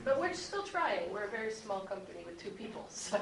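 Gravelly-edged woman panelist, echoey noisy room. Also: medium pitch, very animated, a little fast, crowd noise. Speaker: audio drama producer speaking as panelist. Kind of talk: casual, unscripted panel talk. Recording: echoey room, distant mic, clear noise.

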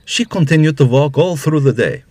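A man's voice: fairly high pitch, lively intonation, moderate pace, mellow. A man narrating a scripted fairy tale as a storyteller.

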